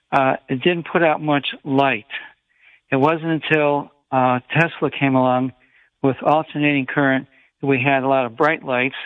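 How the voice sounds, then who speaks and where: An older man, in his sixties or beyond, phoning a radio show with a casual opinion in a halting, repetitive way. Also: medium pitch, no room sound. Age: 60s or older. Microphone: telephone. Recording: thin, narrow phone line.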